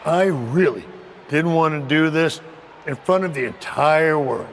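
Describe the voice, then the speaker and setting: A gravelly, controlling faction boss. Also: male voice, a little high, slight background noise. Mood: menacing. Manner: slow, measured, condescending. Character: domineering male wrestling faction leader, cold and controlling. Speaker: wrestling faction leader.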